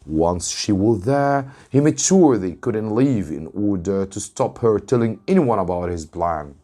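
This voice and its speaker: Slow, low-voiced man reading a story. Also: expressive, dark tone. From Egypt. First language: Arabic. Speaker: English teacher reading aloud. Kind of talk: narrated storybook reading for students.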